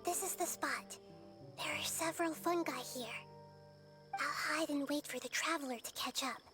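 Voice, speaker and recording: Lady doing a little excitable fairy girl from an anime game, super high squeaky voice, music under it. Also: normal speed, natural voice. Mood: focused. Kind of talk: anime video game voice acting.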